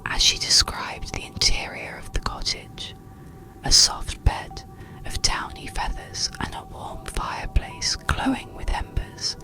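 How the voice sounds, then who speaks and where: A narrator reading a soothing bedtime story in a breathy, unvoiced ASMR whisper, with gentle pauses. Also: rough recording. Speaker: audiobook narrator.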